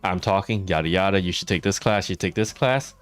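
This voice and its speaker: A man telling stories on a live stream while he plays a game, chatting with his viewers and laughing often. His loud voice is held down for the mic. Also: low voice, brisk, clean tone, faint music behind. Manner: chatty, laughs often, reads and answers chat. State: mouth watering after dental work. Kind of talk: casual live-stream storytelling while gaming.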